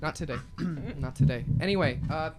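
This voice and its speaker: A man hosting a student radio show, chatting in a quick, offhand way with fillers. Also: a little high, heavy background noise. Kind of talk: casual banter.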